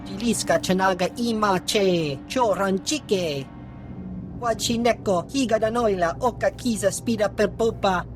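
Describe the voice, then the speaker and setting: A woman's voice: medium pitch, unhurried, natural voice. A woman voicing an alien gangster who speaks an invented alien tongue in a video game. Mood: taunting alien chatter. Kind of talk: video game creature dialogue. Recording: faint music under the voice.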